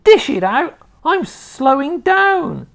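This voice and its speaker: Storybook role: screechy old grandma, gleeful and greedy, way up high and loud, voice twisted out of shape. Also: female voice, sing-song, slow pace, creaky, exaggerated voice. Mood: demanding. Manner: shrieking and whooping.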